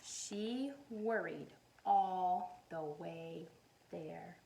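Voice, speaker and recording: A woman teacher reading a picture book aloud to young children, animated and encouraging, often putting questions to her listeners. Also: fairly low pitch, gravelly, slight background noise, poor audio. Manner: encouraging, animated, asks questions and pauses for answers.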